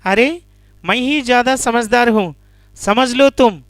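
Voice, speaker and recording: A man's voice: very high-pitched, measured pace, fairly bright tone, dry recording, clean studio sound, pitched above the performer's own voice. A man voicing a cunning, vain female fox in a children's animal story, bragging and argumentative. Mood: indignant, challenging.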